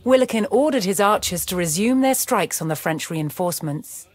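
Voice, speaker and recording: A woman reading scripted historical narration for a strategy game, telling the story in a measured, deliberate way. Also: mid-range voice, lively intonation, a little hiss or hum. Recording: studio voice-over with music underneath. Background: music.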